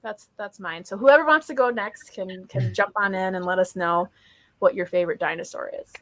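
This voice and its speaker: Bright-voiced woman hosting a casual chat. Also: mid-range voice, a little fast. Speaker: host of a book readalong live show.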